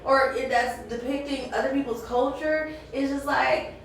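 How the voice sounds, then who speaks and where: An American woman chatting casually as an interviewer, with an animated, emphatic, slangy way of talking. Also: high-pitched, moderate pace, vocal fry. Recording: echoey room, distant mic, music behind. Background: music.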